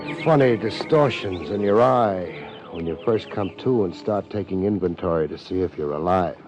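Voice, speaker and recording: A man's voice: low-pitched, normal speed, lightly acted. A man playing a wry, laid-back but tough private detective in 1950s radio drama, speaking in wisecracking slang. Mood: wry narrating. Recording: muffled old radio recording, music behind.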